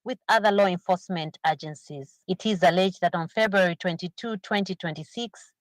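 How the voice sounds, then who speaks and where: A woman's voice: on the low side, fairly quick, dry recording. Formal woman, dry sound. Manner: formal, official. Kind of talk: reading a formal official police statement.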